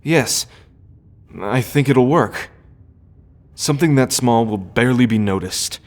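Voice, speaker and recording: An actor playing an earnest, conflicted prince in an audio roleplay, speaking formally and deliberately with sighs. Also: male voice, mid-range voice, close to an everyday voice. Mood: resolute, earnest. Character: earnest, conflicted prince, son of a scheming queen. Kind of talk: audio roleplay drama acting.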